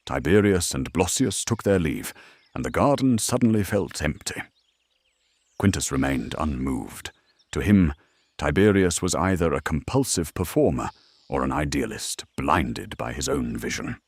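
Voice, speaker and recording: A man's voice: extremely low pitch, big pitch swings, unhurried, creaky, slightly rough, dry recording. A man narrating a scripted drama in a storytelling style.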